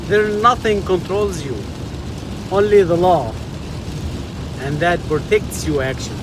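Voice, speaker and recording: A man's voice: high voice, background noise. A man from Egypt with an Egyptian accent, speaking earnestly and emphatically in simple phrasing about his life in the States. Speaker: immigrant sharing his views. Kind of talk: personal testimonial to camera.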